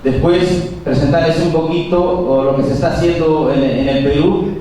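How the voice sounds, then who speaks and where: A man's voice: on the high side, fairly even intonation, dark tone, far from the mic, a little hiss or hum. Man lecturing in an echoey hall. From Peru. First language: Quechua. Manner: measured, pauses with fillers and tag questions. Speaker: conference speaker. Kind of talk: public lecture. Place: large conference hall.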